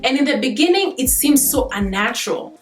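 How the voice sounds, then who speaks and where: A woman's voice: high voice, lively intonation, a little fast. Chatty Kenyan woman vlogging. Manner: chatty, laughs easily, many fillers. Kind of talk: casual chat to camera. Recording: echoey room with faint guitar music.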